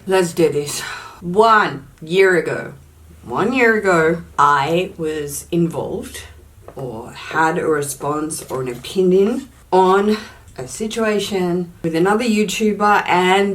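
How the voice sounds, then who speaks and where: A young woman talks straight to camera in a slightly low voice. She speaks with firm emphasis, repeating key words to drive her points home. Her tone stays casual throughout. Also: slow. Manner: uses "allegedly" often as a hedge. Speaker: YouTuber.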